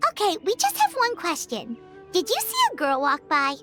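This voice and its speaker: A woman voicing a small, childlike, inquisitive game companion in a squeaky, excitable voice. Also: normal speed, soft background music, heavily acted. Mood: curious.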